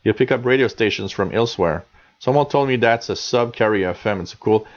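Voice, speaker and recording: A man's voice: on the low side, fairly quick. Canadian guy in his 40s hosting a live radio chat, rambly, lots of ums, kinda muffled lo-fi sound. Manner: chatty, rambling, many fillers and restarts. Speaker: radio show host reading chat.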